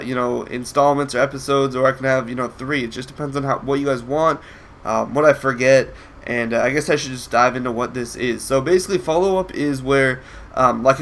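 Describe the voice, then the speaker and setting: A man's voice: mid-range voice, fairly bright tone, slight background noise. A young man with a nasal, congested voice, feeling sick, giving casual commentary over a video game match with lots of ums and restarts. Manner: rambling with many ums and restarts. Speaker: gameplay commentator.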